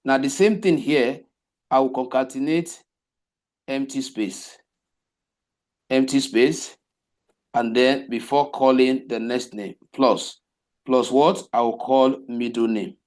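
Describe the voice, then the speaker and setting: Man, coding teacher, slow and repetitive walkthrough, mid-range voice. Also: medium pitch, steady tone, darkish tone. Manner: slow, repetitive step-by-step explaining, asks rhetorical questions. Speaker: web development teacher. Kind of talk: live coding class walkthrough.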